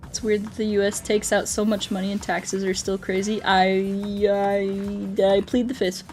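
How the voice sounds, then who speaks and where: A woman's voice: mid-range voice, flat delivery, measured pace, darkish tone, faint music behind. Playful woman chatting on a gaming livestream. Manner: playful, rambling, answers viewers aloud. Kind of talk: casual gaming livestream chat.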